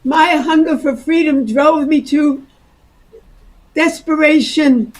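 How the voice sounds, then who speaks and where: A woman acting in an ensemble stage play as a refugee who delivers her lines slowly and declaratively. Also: high voice, darkish tone. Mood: declamatory. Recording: echoey stage with faint music.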